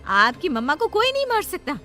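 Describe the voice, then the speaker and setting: A woman voicing a concerned young mother, questioning and soothing, in an animated family story. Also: extremely high pitch, a little fast, pitched above the performer's own voice, exaggerated voice.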